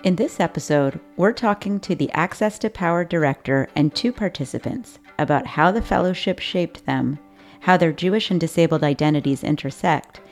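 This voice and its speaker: A woman hosting a podcast, reading a scripted introduction and interviewing guests in a measured, clear way. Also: sits low, darkish tone. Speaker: podcast host.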